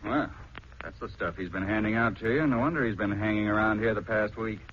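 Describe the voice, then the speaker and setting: An actor playing a gruff, skeptical, down-to-earth husband with a blunt, slangy way of talking in a 1940s radio drama. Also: male voice, on the low side, steady tone, brisk, close to an everyday voice. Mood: grumbling. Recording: dull, noisy 1940s radio recording.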